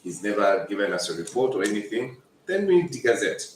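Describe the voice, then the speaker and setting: A man with a fairly low voice gives an informal, emphatic address as an official at a meeting. The room echoes around him, with faint noise underneath. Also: very animated, a little fast.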